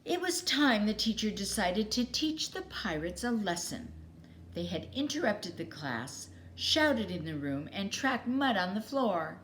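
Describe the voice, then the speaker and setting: Woman, children's story reading, unhurried, expressive, dramatic pauses, lively intonation. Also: medium pitch, slight background noise. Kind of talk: children's story read aloud.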